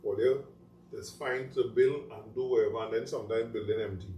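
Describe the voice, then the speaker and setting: A man's voice: on the low side, fairly even intonation, brisk, dark tone. A man with a Trinidadian accent talking casually in a panel discussion, making his points emphatically and repeating phrases for stress. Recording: roomy echo, distant mic, faint noise.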